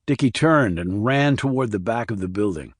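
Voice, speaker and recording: A man narrates a crime thriller audiobook. His voice sits on the low side. The recording is dry, with hardly any room around the voice. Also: animated, normal speed, dry recording.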